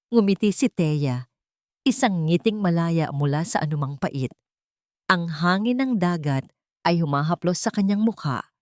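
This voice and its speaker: A woman with a fairly low voice reads a melodramatic story aloud. She narrates with expressive, dramatic swings in her delivery. The sound is dry and close, with no room echo.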